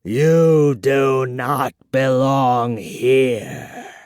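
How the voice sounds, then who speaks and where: Reader putting on an old vampire, super slow and murmury, creepy and tired, dry close mic. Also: darkish tone, clear, resonant tone, no echo. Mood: menacing. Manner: slow, low, murmuring and whispery. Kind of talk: audiobook character reading.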